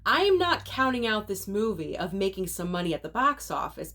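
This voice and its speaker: A woman's voice: mid-range voice, a bit bright. Sick woman host, nasal, casual and opinionated, talking straight to viewers. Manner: chatty, opinionated, direct to viewers. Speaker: movie channel host. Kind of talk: casual movie rundown vlog.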